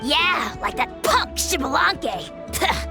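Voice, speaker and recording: A very high, swooping game character voice: a tiny self-important dragon lord. The creature is pompous, touchy and full of haughty scorn, with music playing behind. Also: female voice, big pitch swings, slow, exaggerated voice. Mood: scornful. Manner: pompous, shrieking indignation, haughty insults. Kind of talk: video game character acting.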